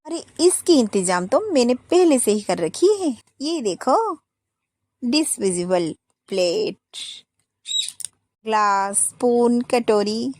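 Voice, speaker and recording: A woman's voice: fairly high pitch, animated, slow pace, long pauses, darkish tone, dry recording. A woman doing a cheeky young daughter-in-law. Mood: scheming. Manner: eager, coaxing.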